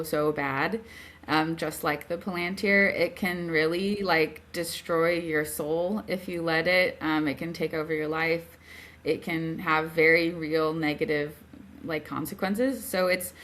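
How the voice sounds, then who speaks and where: A woman with a slightly low voice answers as a guest author. She is reflective and earnest, working through her ideas in casual, conversational language. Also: a bit bright. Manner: thoughtful, earnest, explanatory. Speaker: author being interviewed. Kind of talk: casual reflective interview talk.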